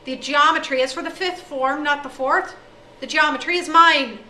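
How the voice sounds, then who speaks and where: A hot-tempered old schoolmaster bellows and hurls insults, as a woman reading aloud puts him on. The voice rings bright and high in an echoey room, picked up from across the space. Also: lively intonation, normal speed, recorded from a distance, slight background noise. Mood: furious. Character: hot-tempered older male Irish schoolmaster.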